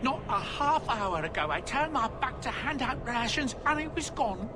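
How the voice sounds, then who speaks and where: A man's voice: extremely high pitch, sing-song, normal speed, background noise. An actor playing a worried, doting dwarf merchant father in a video game, pleading courteously. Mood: distressed. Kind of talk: dramatic video game dialogue.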